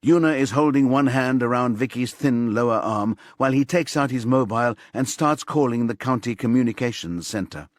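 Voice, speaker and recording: Male narrator for a thriller audiobook: measured, suspenseful delivery, medium pitch, dry studio-like sound. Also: dry recording.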